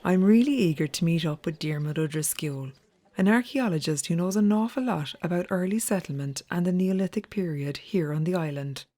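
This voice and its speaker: A woman's voice: fairly low pitch, a little fast, warm tone. A woman, narrating a travel documentary as its presenter.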